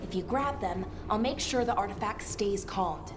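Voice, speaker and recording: An actress voicing an earnest, gentle young female artifact expert in a video game, speaking conversationally with slight hesitancy. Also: mid-range voice, animated, normal speed, very noisy, close to an everyday voice. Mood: focused, earnest.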